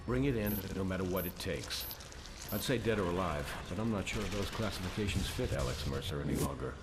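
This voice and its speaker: Grave, low-voiced scientist. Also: male voice, animated. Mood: grave, ominous. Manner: measured, ominous, authoritative. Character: grave male scientist briefing military men on a pathogen. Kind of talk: video game cutscene acting. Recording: loud noisy ambience behind.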